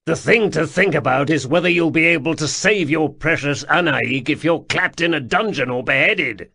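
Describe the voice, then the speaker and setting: A scornful, hardened warrior with a rough, gravelly grain to his voice, speaking slowly and snapping out his words, as a male narrator plays him. His lines rise and fall widely rather than sitting flat. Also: medium pitch, full character voice. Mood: bitter. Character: bitter, hardened older warrior man, curt and scornful.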